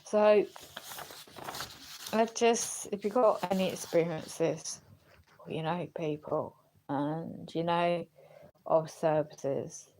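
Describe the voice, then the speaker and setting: A woman livestreaming in a rambling, halting way, earnest and questioning, her voice going as if she is unwell. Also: lowish voice, fairly even intonation, warm tone, a little hiss or hum, poor audio. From United Kingdom. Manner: halting, searching for words. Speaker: live streamer. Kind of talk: rambling personal livestream talk.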